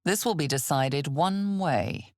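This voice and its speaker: A woman playing an ominous, knowing narrator in a fantasy video game, with measured, theatrical, deliberate phrasing. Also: sits low, no room sound, studio quality. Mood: ominous, solemn. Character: female game narrator, ominous, knowing, darkly solemn storyteller. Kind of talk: dramatic second-person fantasy narration.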